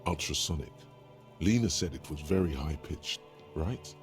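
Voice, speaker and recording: Game narrator, deep gravelly male voice, over game music. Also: lively intonation, normal speed. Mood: hushed. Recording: game audio under music.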